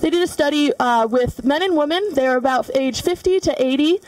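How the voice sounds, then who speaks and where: High-voiced young woman demonstrating cooking. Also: a little fast, a little mellow. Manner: explains while cooking, frequent fillers. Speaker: culinary student demonstrator. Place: large studio demonstration kitchen. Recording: voice from far off the mic.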